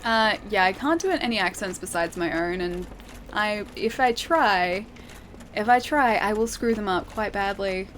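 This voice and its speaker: A woman's voice: medium pitch, measured pace, fairly bright tone, a little hiss or hum. An Australian woman streaming a game, chatting casually and reading her chat aloud, laughing often and thinking aloud with plenty of fillers.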